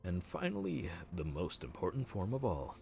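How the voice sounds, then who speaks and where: A man's voice: low-pitched, huge pitch swings, moderate pace, creaky, darkish tone, soft background music, full character voice. A man voicing a helpful, chatty male grim reaper who works the underworld front desk, explaining things politely in an audio roleplay. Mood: courteous.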